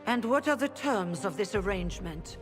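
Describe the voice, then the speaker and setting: Woman playing an anxious, animated mage leader. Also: mid-range voice, moderate pace, over music, close to an everyday voice. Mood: wary. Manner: pleading, formal, earnest. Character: anxious, dignified female leader of rebel mages. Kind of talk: video game cutscene acting.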